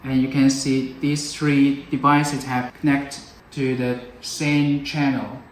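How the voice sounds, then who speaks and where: A man presenting a scripted product tutorial, explaining things in a measured, step-by-step way. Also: mid-range voice, little pitch movement. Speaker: product demonstrator. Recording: roomy echo, background noise.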